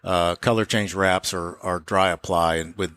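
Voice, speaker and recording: A man explaining car work in a casual, matter-of-fact way as an interviewed shop owner, pausing and restarting as he goes. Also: low-pitched, steady tone, a bit bright, clear, resonant tone.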